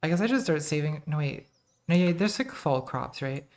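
A woman in her thirties with a very soft, quiet voice, chatting casually to her live-stream chat while playing a game, self-deprecating and laughing often. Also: sits low, expressive, moderate pace. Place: home streaming room, indoors.

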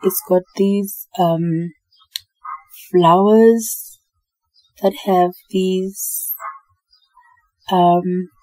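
South african woman doing chatty voiceover on her cleaning vlog, low mellow voice, laid back. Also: very slow, pauses often, dry recording, soft background music, rough recording. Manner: relaxed and chatty, often repeating "like I said". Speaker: home cleaning vlogger. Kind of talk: casual voice-over narration.

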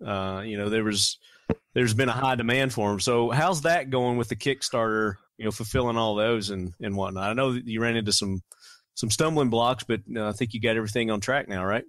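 A man hosting a casual podcast interview, relaxed and chuckling as he explains things. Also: fairly low pitch, a little fast, no room sound. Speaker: podcast host.

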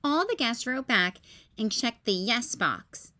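A woman hosting story time, reading a children's picture book in a careful, expressive way for young listeners. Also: on the high side, slow pace. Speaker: storytime host.

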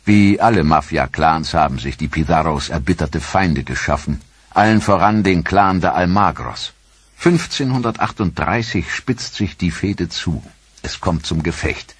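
Deep husky male documentary narrator. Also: animated, no echo. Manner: measured, solemn storytelling.

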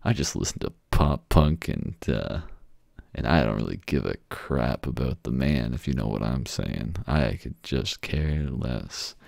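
A too-cool rock radio DJ, acted out by a man, laid-back and indifferent. His slacker delivery is low and gravelly. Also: expressive, measured pace, darkish tone, no echo, studio quality, exaggerated voice.

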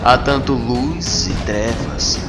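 Sinister comic-book alien manipulator, male actor, slow and swooping delivery, theatrical sermonizing. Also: medium pitch, expressive. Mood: sly, solemn. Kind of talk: dramatic motion comic dubbing. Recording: long hall reverb, loud music underneath.

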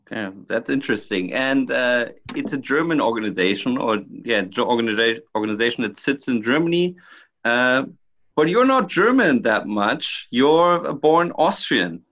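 A man's voice: medium pitch, expressive, moderate pace, fairly bright tone, dull audio. A man hosting a podcast, speaking casually with frequent hesitations and filler sounds while he introduces his guests. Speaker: podcast host. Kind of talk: casual discussion.

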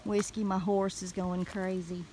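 A woman with a Southern US accent telling a story casually in a folksy, animated way, recorded on a handheld phone outdoors. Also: mid-range voice, unhurried, a little hiss or hum, poor audio. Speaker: homestead vlogger. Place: outdoors in a wooded rural yard.

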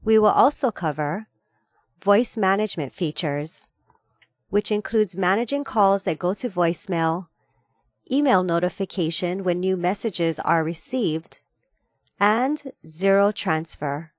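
A woman reading a scripted instructional voice-over in a measured, formal, step-by-step manner. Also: lowish voice, animated, no room sound. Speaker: tutorial narrator.

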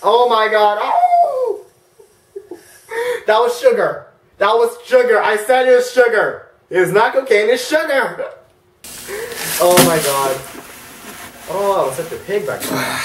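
A teenage boy reacting to his own old videos in his bedroom, embarrassed and excitable, jumping between mutters and yelps. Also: sits high, slow, pauses often, echoey room, distant mic, a little hiss or hum. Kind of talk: casual reaction commentary. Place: bedroom, indoors, hugging a big stuffed bear.

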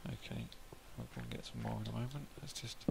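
Hoarse man, gravelly voice, quiet muttering, game commentary, loud noise behind. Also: mid-range voice, steady tone, fast talker. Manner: quiet, muttering to himself while building. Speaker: gameplay video host. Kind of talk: casual gameplay commentary. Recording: home mic with loud background noise. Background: cows mooing in the game.

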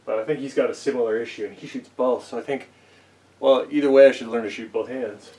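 A man's voice: mid-range voice, fairly even intonation, quick pace, warm tone. A young man musing casually to camera, rambling with lots of fillers and self-deprecating asides. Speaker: archery vlogger. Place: small basement archery range, indoors. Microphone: camera mic at a few meters while he moves and shoots. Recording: distant camera mic with faint noise.

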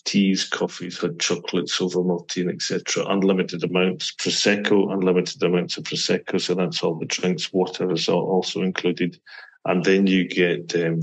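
A middle-aged Scottish man with a very low voice that barely moves in pitch. He explains things in a steady, matter-of-fact way, running through details one after another like an interview guest. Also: creaky, low-quality recording. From Scotland. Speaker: guest from a mountain attraction. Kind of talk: podcast interview.

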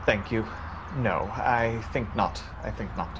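A man playing an awkward, reluctant gentleman messenger, polite and repeating himself, in a radio drama. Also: lowish voice, slow, background noise. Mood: uneasy, formal.

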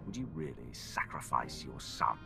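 A menacing game villain, a power-hungry commander with an extremely low, gravel-edged voice. He gives orders coldly and deliberately. Music plays strongly behind him. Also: sing-song, full character voice. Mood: menacing, smug. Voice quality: very deep, gravelly. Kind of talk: video game cutscene acting.

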